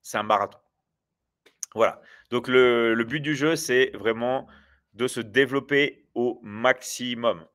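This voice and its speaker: A man's voice: mid-range voice, measured pace, a bit bright. A man in his forties hosting a casual livestream, chatting loosely with viewers and rambling with many hesitations and restarts. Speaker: livestream host.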